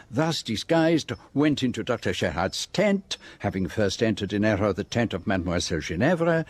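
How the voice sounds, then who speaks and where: An actor playing a shrewd, precise Belgian detective with a French-speaking accent, formally laying out a case in a radio drama. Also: male voice, on the low side, husky. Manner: measured, precise, formal explanation. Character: shrewd, precise, theatrical Belgian detective, middle-aged man.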